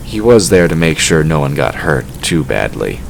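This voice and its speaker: Male narrator for fantasy audio drama: exceptionally low voice, scripted storytelling, clear ambient noise behind. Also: sing-song, normal speed.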